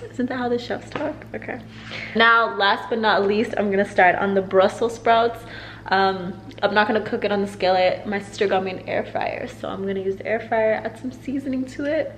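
A young woman talking her viewers through a recipe in a home kitchen, loose and slangy, full of y'all. She speaks to a camera set on the counter. Her pitch sits in the middle range. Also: fairly even intonation, moderate pace, fairly bright tone. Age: young adult. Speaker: cooking vlogger. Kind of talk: casual cooking tutorial. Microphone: camera on the counter and handheld.

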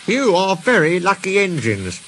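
A man's voice: high voice, huge pitch swings, a little slow, a bit bright, slight background noise, pitched above the performer's own voice, exaggerated voice. A man voicing a good-natured, wistful steam engine in a children's storybook, with an eager, sing-song delivery. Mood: admiring, eager.

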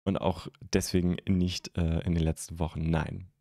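A young man from Germany hosting a live stream, chatting casually and haltingly as he answers viewer comments into a close microphone. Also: sits low, little pitch movement, gravelly, warm tone, no echo. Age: young adult. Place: indoor streaming room at home. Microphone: close microphone on a stand.

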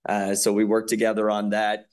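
A man reporting on an athletics contract at a school board meeting, speaking haltingly with many fillers. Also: on the low side, monotone, a little mellow.

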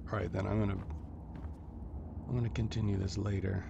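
A man's voice: on the low side, little pitch movement, a little fast. A man giving casual solo commentary as he plays a video game, muttering to himself and repeating short phrases. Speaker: video game player and host of a gameplay series. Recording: dull lo-fi audio with clear background noise.

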